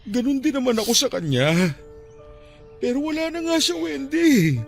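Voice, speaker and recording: An actor playing a tender, heartbroken widowed father in an emotional radio drama, speaking haltingly. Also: very high-pitched, sing-song, creaky, full character voice. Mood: grief-stricken. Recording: music under the voice. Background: music.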